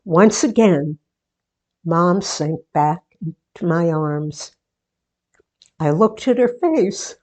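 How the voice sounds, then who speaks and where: A woman playing a sentimental, playful daughter and hostess in a 1940s-style radio theatre monologue, with deliberate, theatrical delivery. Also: fairly low pitch, lively intonation, a little mellow, exaggerated voice. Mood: wistful, tender. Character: sentimental daughter, book-show hostess, playfully resisting marriage.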